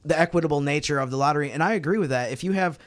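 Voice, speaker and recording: A man in a casual sports discussion, reasoning through his argument in a measured way. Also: on the high side. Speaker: sports podcast panelist.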